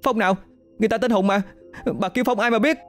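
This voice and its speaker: A man doing a shrill, sobbing young woman. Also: expressive, darkish tone, soft background music. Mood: tearful indignant. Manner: shrill, sobbing, rapid protesting. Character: frightened young female student, tearful and pleading.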